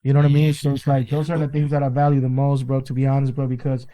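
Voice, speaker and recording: A man from Peru talks loosely and earnestly in a casual interview, dropping "bro" every few lines. His voice is mellow and relaxed. It was recorded inside a car. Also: mid-range voice, quick pace, low-quality recording. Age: adult with children. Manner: loose and earnest, peppered with "bro" and "you know what I mean". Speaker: guest artist.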